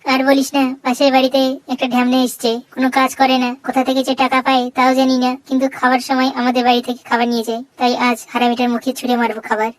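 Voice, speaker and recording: A performer voicing a hot-tempered, suspicious cartoon husband in a high, childlike voice, brash and scolding. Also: completely flat delivery, racing, hardly any pauses, lightly acted. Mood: boastful, irritated. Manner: brash, scolding, insult-laden. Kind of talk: exaggerated comic cartoon dialogue. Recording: noisy track with clicks.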